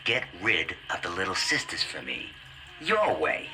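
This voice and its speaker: An actor playing a shifty, cowardly schemer in a video game, with a slippery, wheedling manner. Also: male voice, deep voice, huge pitch swings, unhurried, noisy background, heavily acted. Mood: sly, smug. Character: shifty, scheming, cowardly male security-booth weasel.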